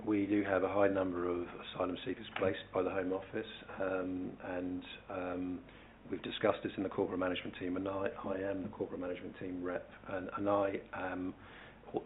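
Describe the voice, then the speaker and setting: A man answering questions as a council officer at a public meeting, explaining in a measured, hesitant, formal way. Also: low voice, narrow, tinny audio, slight background noise. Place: indoor council committee meeting.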